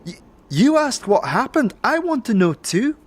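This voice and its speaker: A woman's voice: on the high side, big pitch swings, a little slow. A woman playing a worried young female companion in a fantasy audio drama, tough but caring, hesitant. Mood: concerned.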